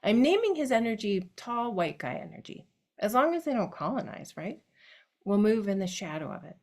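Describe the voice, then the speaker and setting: A woman's voice: mid-range voice, sing-song, normal speed. A woman performing a reflective autobiographical monologue on stage, speaking directly to her grandfather.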